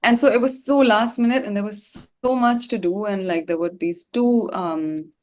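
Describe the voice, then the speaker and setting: A woman's voice: mid-range voice, moderate pace. A woman being interviewed as a singer, talking casually in a slangy, rambling way. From India. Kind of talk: casual chat.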